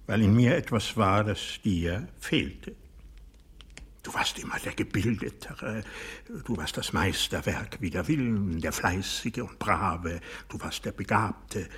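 Husky, brooding old aristocrat. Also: male voice, fairly low pitch, a bit bright, heavily acted. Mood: bitter, accusing. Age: older. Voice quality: husky, breathy, often dropping to a whisper. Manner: deliberate, halting, intense, accusing. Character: bitter, proud old aristocratic man, former hunter, brooding over betrayal. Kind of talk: radio drama monologue acting.

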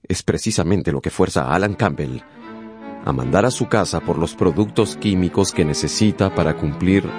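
Deep-voiced male radio drama narrator. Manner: measured, suspenseful, deliberate phrasing.

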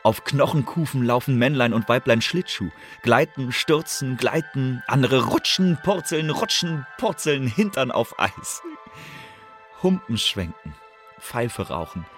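A man's voice: medium pitch, fairly bright tone. An actor playing a young Elizabethan poet-spy in a radio play, voicing his letters home in a lyrical, savoring manner. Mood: delighted, vivid. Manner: lyrical, savoring descriptive lists. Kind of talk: German radio play monologue, literary letter.

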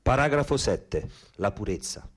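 An actor playing a wry, earthy Roman storyteller in a theatrical radio piece, with a Roman accent. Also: male voice, on the low side, lively intonation, a little slow, husky. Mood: wry narrating.

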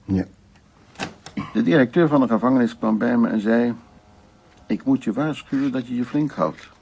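Actor as a gravelly, brooding old war survivor. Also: male voice, medium pitch, normal speed, darkish tone, a little hiss or hum, close to an everyday voice. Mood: calm, explaining. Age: older. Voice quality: gravelly, husky. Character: brooding old Dutch war survivor telling his memories. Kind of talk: radio drama acting.

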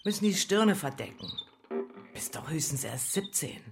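An actress playing a worldly, bitter streetwalker in a radio play, speaking in a knowing, matter-of-fact, sardonic way. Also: female voice, sits low, very animated, normal speed, vocal fry, a little hiss or hum, full character voice. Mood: coaxing, knowing. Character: worldly, bitter streetwalker taking in a younger girl. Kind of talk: German radio play acting.

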